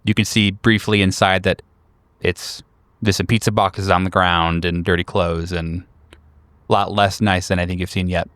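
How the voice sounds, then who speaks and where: Male game master, lower pitch, clean smooth tone, dry close sound, descriptive improvised narration, pauses. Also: little pitch movement, a bit bright, dry recording.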